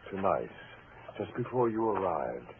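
An actor playing a cold, vengeful husband in 1940s radio mystery style, deliberate and taunting. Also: male voice, low voice, very animated, heavily acted. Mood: eerie, menacing. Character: cold, vengeful husband, posing as a murdered man's ghost. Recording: noisy, narrow-band old radio broadcast.

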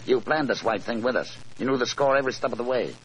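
An actor playing an impatient, suspicious gangster in 1940s radio style, curt, slangy and bullying. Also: male voice, mid-range voice, fast, a bit bright, lightly acted. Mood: angry, accusing. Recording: noisy old radio recording.

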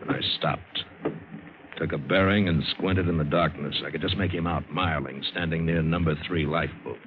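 Tough wisecracking sailor, American, low pitch, wry, dramatic radio narration, crackly thin recording. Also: male voice, fairly even intonation, normal speed. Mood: wary, brooding. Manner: hard-boiled, wry, dramatic. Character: tough, wary, wisecracking seaman and adventurer telling his tale. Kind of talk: 1950s radio drama acting with first-person narration. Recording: scratchy, hissy narrowband old radio recording.

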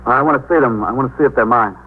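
A man's voice: mid-range voice, very fast, slight background noise, close to an everyday voice. A man acting as a distraught, insistent sailor in a 1950s radio drama, agitated and pleading. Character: distraught, insistent young sailor desperate for his missing family. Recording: tinny, narrow old radio broadcast.

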